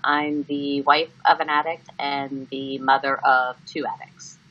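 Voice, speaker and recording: An American woman in her early fifties giving candid, halting interview answers. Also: rumbling low, level tone. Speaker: interviewee, family member. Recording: dull, lo-fi sound.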